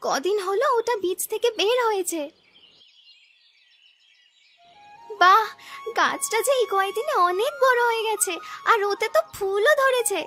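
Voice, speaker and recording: A woman's voice: very high voice, animated, normal speed, bright, forceful tone, soft background music, heavily acted. A woman voicing a humble, sweet, dutiful young village girl in a cartoon story, speaking meekly and earnestly. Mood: pleased. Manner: meek, earnest. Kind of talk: Bengali cartoon story dubbing.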